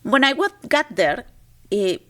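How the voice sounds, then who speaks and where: A woman's voice: on the high side, big pitch swings, unhurried. A young adult woman from Peru, a comedian chatting casually as a podcast guest and laughing often. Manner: chatty, laughs easily, restarts words.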